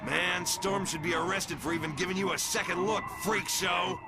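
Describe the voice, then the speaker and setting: Man acting a gloating young villain, loud music. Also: high voice, fairly even intonation, normal speed, creaky. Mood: cruel taunting. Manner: taunting, theatrical. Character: vicious, gloating young male mutant body-snatcher. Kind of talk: motion comic voice acting.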